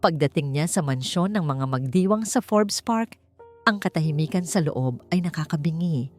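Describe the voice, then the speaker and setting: A woman with a fairly low voice narrates a dramatic audiobook story. She reads from a script as a storyteller, with clear music running behind her. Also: lively intonation, moderate pace, a little mellow. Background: background music.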